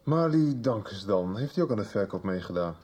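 A man's voice: lowish voice, expressive, a little fast, darkish tone, breathy edge. An actor in a 1990s radio play voicing a skeptical man who keeps pressing with pointed questions. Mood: probing. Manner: probing, persistent questioning. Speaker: radio drama character. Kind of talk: 1990s radio drama acting.

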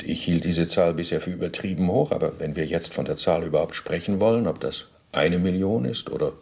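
Evasive, low-voiced, gravelly Nazi bureaucrat. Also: male voice, darkish tone. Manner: formal, pedantic, deferential, self-justifying. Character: evasive, bureaucratic former Nazi official under interrogation. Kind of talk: German radio docudrama acting, interrogation dialogue.

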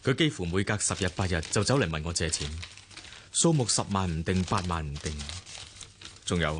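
An actor with a Hong Kong Cantonese accent playing a bitter, insistent, aggrieved husband in a radio drama, with a husky voice. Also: male voice, deep voice, a little hiss or hum.

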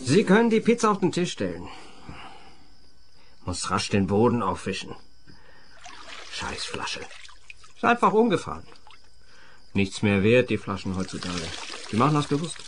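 A man's voice: fairly low pitch, big pitch swings, normal speed, long pauses, faint music behind. An actor playing a bitter, drunken jobless man who grumbles and rants in a radio play. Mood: grumbling. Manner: grumbling, repetitive ranting.

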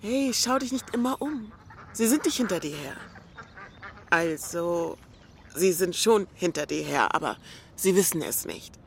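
A woman's voice: fairly low pitch, expressive, unhurried, gravelly, slight background noise. An actress playing a caring, frank young woman in a radio drama, coaxing and matter-of-fact with her friend. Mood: frank, reassuring.

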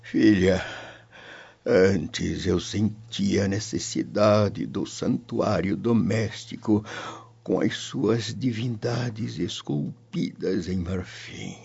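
An actor playing a frail, devout old Roman grandfather in a radio drama, speaking in a solemn, halting way. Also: lowish voice, gravelly, a little mellow, husky, exaggerated voice. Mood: devout, reflective. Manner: slow, solemn, halting delivery. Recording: dull lo-fi radio drama audio. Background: music.

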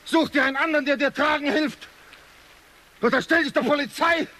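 A man's voice: very high voice, fairly even intonation, a little fast, sharp tone. An actor playing an enraged, scornful middle-aged father in a German radio drama. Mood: contemptuous. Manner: scornful, taunting. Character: enraged, contemptuous father in his fifties.

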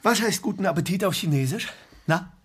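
A man's voice: sits high, animated, brisk, slightly rough. A man playing a strict, exasperated father in a children's radio play, stern and nagging.

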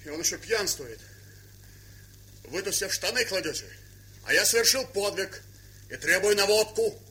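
Aggrieved young soldier, hothead, bright strained high voice, theatrical bellowing. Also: male voice, lively intonation, moderate pace. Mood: indignant. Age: young adult. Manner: bellowing, indignant. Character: hot-headed, aggrieved young soldier, blustering and hungry. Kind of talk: theatrical stage drama acting. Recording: roomy 1970s radio-play recording.

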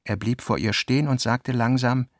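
A man narrating a literary radio play with deliberate, dramatic phrasing and pauses. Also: mid-range voice, fairly even intonation, dry recording, studio quality.

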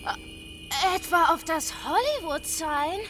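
A woman's voice: very high-pitched, expressive, slow pace, noisy background, heavily acted. An actress in a radio drama playing a naive, resentful woman sidekick, whiny, questioning and indignant. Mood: whiny, weary. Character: naive, resentful failed actress turned reluctant killer sidekick. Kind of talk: modern radio drama acting.

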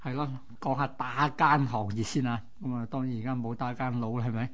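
A man's voice: mid-range voice, fairly even intonation, brisk, a bit bright. A man with a mid-range voice tells history as a co-host. He explains in colloquial Hong Kong Cantonese, like chatting, with vivid exclamatory phrasing. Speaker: radio co-host. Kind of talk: conversational history storytelling.

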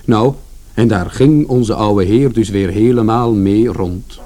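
An actor playing a chatty, wry son narrating a radio play in a colloquial, rambling, matter-of-fact way. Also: male voice, on the low side, takes it slowly, very noisy. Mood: matter-of-fact recounting. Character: chatty, wry working-class son recounting misadventures.

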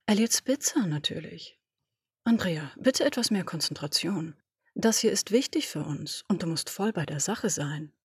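Husky bossy lady boss giving orders, cold and clipped, dry close mic, a woman reading her. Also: fairly low pitch, expressive, no room sound. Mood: cool, commanding. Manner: commanding, brisk, dismissive. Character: imperious, domineering woman boss. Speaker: podfic reader. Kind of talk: German audio drama reading.

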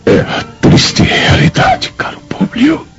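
An actor playing an anguished, aging Roman patrician father in a radio drama, speaking haltingly with a gravelly, breathy, faltering voice. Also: fairly bright tone, in a whisper. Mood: wistful, pleading. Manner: halting, dramatic, sighing delivery. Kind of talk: Brazilian radio drama acting. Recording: dull lo-fi radio drama, faint music.

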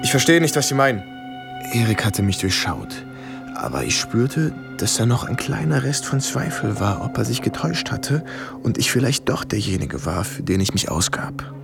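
An actor playing an anxious, pleading young American soldier in a radio drama, narrating and speaking in a tense way. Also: male voice, medium pitch, very animated, moderate pace, creaky. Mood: guarded. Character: cornered young American soldier John, anxious, pleading, posing as German officer. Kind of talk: radio drama acting and first-person narration. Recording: studio radio play with music underneath.

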